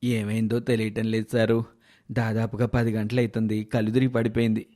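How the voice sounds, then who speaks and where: A man playing a worried, humble, hardworking village father in an animated moral story. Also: fairly low pitch, fairly quick, close to an everyday voice.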